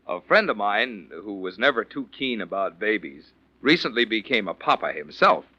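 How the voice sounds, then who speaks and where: Bright, lively 1940s radio announcer man. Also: lowish voice, normal speed, no echo. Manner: polished, emphatic sales delivery. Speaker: radio announcer and sponsor pitchman. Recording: dull, dry 1940s radio recording.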